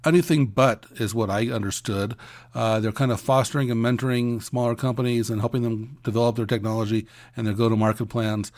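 A man co-hosting an industry news podcast, giving conversational commentary in a halting way, often restarting his phrases. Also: medium pitch, fairly even intonation, a little mellow.

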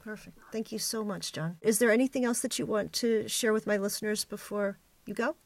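A woman speaking as a podcast host, addressing a guest or listeners in a clear presenting style. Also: mid-range voice, steady tone, brisk, no room sound. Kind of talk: podcast interviewing and scripted announcement.

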